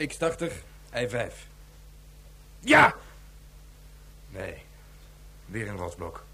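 An actor playing a grim, commanding colonel with a clipped, terse delivery in a science fiction radio drama. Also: male voice, fairly low pitch, huge pitch swings, drawn-out words, sharp tone, breathy edge, heavily acted. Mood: commanding, tense. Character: grim, commanding colonel hunting a stranded vehicle.

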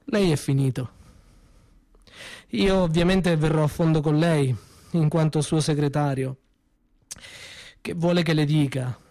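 Actor as a high-pitched, defiant male secretary. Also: a little slow, pauses often, a little mellow, dry recording. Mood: grave, defiant. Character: bitter, defiant secretary denouncing his boss. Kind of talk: theatrical monologue in a radio play.

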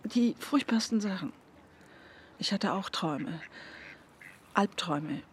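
An actress playing an earnest, intense woman with spiritual convictions in a radio drama, insistent and at times hushed. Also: medium pitch, expressive, slow, a little hiss or hum. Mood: earnest, confiding. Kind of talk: naturalistic radio drama dialogue.

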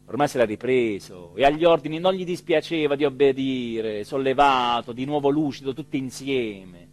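An actor playing an excitable small-town mayor in a theatrical radio monologue, with an emphatic delivery that swings from hushed to shouted. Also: male voice, medium pitch, moderate pace, close to an everyday voice. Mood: proud, brisk. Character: excitable small-town Italian mayor recounting his dream and a night emergency.

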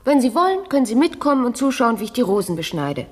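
Spirited gentlewoman, higher-pitched, husky, sharp-tongued, teasing, radio play. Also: sing-song, quick pace, long reverb tail. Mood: brisk, mocking. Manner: mocking, playful. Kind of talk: German radio play acting.

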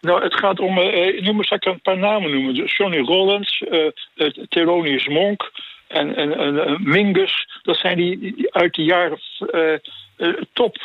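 A man of seventy-seven calling a radio show by telephone, halting and searching for his words. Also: high-pitched, no room sound. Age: 70s. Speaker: phone-in caller. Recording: tinny phone line.

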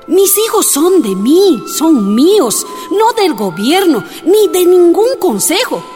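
A woman's voice: extremely high pitch, big pitch swings, slow pace, mellow, in a large hall, faint music behind, exaggerated voice. An actress with a Bolivian accent playing an anguished, humble but defiant imprisoned miner's wife and mother in a radio drama. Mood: anguished defiance.

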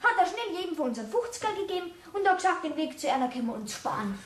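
Woman doing a bavarian kid, boy, cheeky n eager, high voice, radio play. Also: very animated, very fast. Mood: aggrieved.

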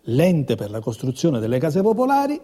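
A man playing a resigned, cautious friend with a husky, breathy voice, confiding cynical warnings in a stage drama. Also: fairly high pitch, wildly swooping pitch, normal speed, dark tone, heavily acted. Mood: cautioning. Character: resigned, cautious male friend urging compromise.

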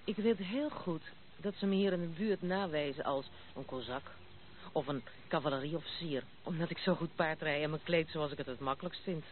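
A woman's voice: low voice, lightly acted. An actress playing a blunt, proud, self-assured young noblewoman in a radio play. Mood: proud, frank. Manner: brisk, self-assured, outspoken. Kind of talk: Dutch radio play acting. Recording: muffled narrow-band old radio recording, noisy.

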